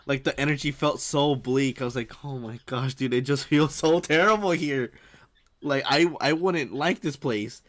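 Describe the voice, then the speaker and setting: A man's voice: medium pitch, moderate pace, a bit bright. A young man streaming a casual reaction, rambling and filling his talk with "like" and "dude". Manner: rambling, heavy use of "like" and "dude".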